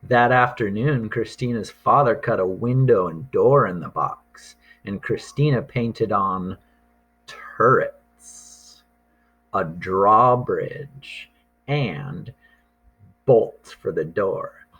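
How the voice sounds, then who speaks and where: A man reading a picture book aloud to young children, deliberate and expressive, drawing out words and pausing for drama. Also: on the low side, husky, muffled recording.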